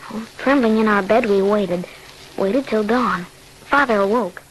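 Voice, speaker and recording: A woman's voice: mid-range voice, a little slow, natural voice. A woman playing an earnest, fearful young boy who narrates and acts in a 1940s radio horror drama. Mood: grave, suspenseful. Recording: slightly noisy 1940s radio recording.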